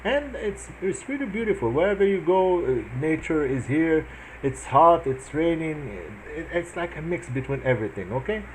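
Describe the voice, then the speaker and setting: A man's voice: a little high, big pitch swings, normal speed, a little hiss or hum, rough recording. A man in his forties from Morocco, earnestly telling in a casual chat how he met his wife, with many restarts and fillers. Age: 40s. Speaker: vlogger answering his wife's questions.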